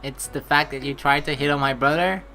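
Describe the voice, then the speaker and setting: A woman narrating a game playthrough, with animated, jokey asides and some swearing. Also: sits low, a little fast. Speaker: let's play streamer. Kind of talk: playthrough narration with casual reactions. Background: background game music.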